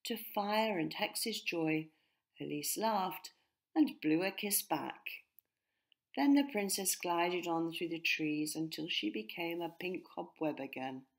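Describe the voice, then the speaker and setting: Slow, low-voiced woman reading a storybook. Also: gravelly. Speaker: author narrating her own story. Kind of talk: children's storybook narration.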